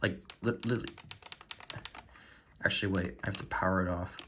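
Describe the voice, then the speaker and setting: A man talking casually in a halting, rambling way as he presents his collection in a vlog. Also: low-pitched, fairly even intonation, bright, forceful tone, a little hiss or hum. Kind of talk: casual show-and-tell vlog.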